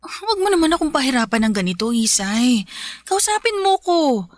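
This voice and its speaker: A woman's voice: high-pitched, very animated, moderate pace, no echo, studio quality. A woman acting a pleading, conciliatory sister in a Filipino radio drama. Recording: music behind the voice.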